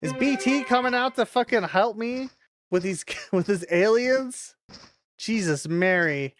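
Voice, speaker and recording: A woman's voice: medium pitch, animated, unhurried, soft background music. A woman streaming a video game, commenting casually with a lot of exasperated swearing and exclaiming. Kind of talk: casual live gameplay commentary.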